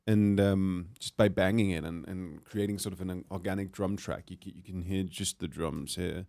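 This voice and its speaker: A man, hoarse after a cold, chatting casually to a livestream from his studio and thinking aloud with many pauses and fillers. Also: low voice, steady tone, no echo. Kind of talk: casual chat to a livestream audience. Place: music studio. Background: his own track playing quietly underneath.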